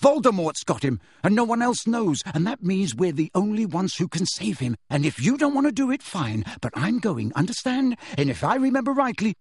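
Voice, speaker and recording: A man voicing a desperate, hot-tempered teenage boy wizard in an audiobook, speaking roughly and aggressively. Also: high voice, a bit bright, no echo, clean studio sound, pitched above the performer's own voice, heavily acted. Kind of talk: audiobook character voice.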